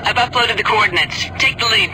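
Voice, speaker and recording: A man voicing a practical pilot who speaks briskly and plainly over radio chatter in a game. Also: high-pitched, background noise, lightly acted. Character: practical male freelance pilot leading a group. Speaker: squad member in a space game.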